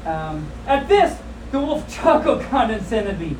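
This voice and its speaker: A woman with a fairly high voice hosts a live storytime in a playful, joking way. She moves between reading aloud and chatty asides. She is recorded at a distance in an echoey room with clear background noise. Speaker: live storytime host at a fan convention. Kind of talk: reading a comic children's story aloud to an audience, with casual asides. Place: indoor convention room with audience. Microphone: distant camera filming a live event.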